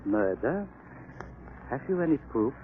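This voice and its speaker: An actor playing a coolly sympathetic sanitarium doctor with measured, formal delivery in a 1930s radio drama. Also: male voice, medium pitch, very animated, slight background noise. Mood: guarded politeness. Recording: tinny, band-limited 1930s radio recording.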